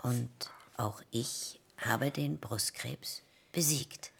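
A chatty, earnest middle-aged woman in a radio drama. Her voice is very low with a creaky grain. She talks emphatically and tries to reassure. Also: very slow, heavily acted. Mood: earnest, encouraging.

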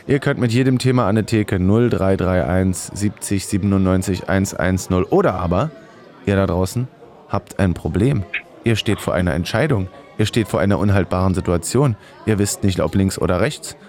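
A man's voice: sits low, moderate pace, darkish tone. A man hosting an improvised comedy radio show, chatty and playful with mock-dramatic announcements.

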